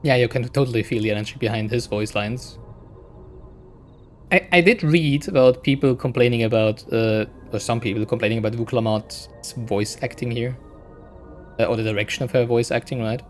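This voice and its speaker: A man's voice: medium pitch, moderate pace, faint music behind. A man streaming a game, chatting in a rambling casual way; English is not his first language. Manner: rambling, chatty, keeps adding "right?". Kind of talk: casual let's-play commentary.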